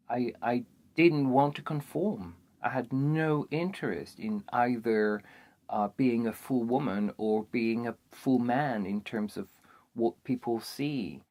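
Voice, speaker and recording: Slow, hesitant man. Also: mid-range voice, darkish tone. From France. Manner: hesitant, thoughtful, with many pauses. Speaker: performance artist. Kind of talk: personal recollection.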